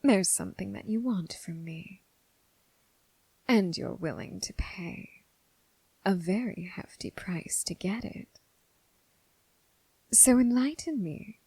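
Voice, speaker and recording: A woman playing a seductive, menacing crossroads demon who toys with her prey, in a breathy, whispered ASMR roleplay with slow, teasing, formal phrasing. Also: medium pitch, lively intonation, warm tone, dry recording, full character voice, rough recording. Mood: coolly amused.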